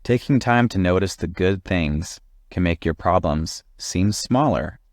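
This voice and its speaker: A man voicing a calm, helpful animal friend in a storybook, gentle and encouraging. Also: low voice, measured pace, no echo, clean studio sound. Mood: gently encouraging.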